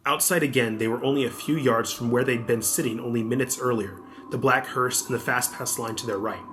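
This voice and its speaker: A man's voice: medium pitch, fairly even intonation, fairly quick, fairly bright tone, a little hiss or hum. A man narrating a scripted adventure audiobook as the storyteller.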